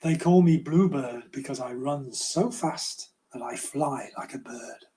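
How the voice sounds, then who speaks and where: An older man voicing a calm, reassuring magical blue horse in a children's storybook. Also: mid-range voice, unhurried, voice pushed down, close to an everyday voice. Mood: kindly.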